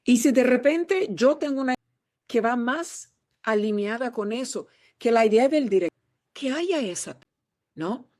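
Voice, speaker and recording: A woman's voice: mid-range voice, a little mellow, no echo, studio quality. A woman from Peru, an actress answering interview questions in an earnest, encouraging way, often restarting her words over a remote call.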